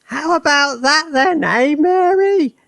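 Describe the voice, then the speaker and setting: A man voicing a gleeful, bossy old grandmother who cackles and shouts from a rooftop. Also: animated, slow pace, vocal fry, no echo, heavily acted. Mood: gleeful shouting. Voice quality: shrill cackling.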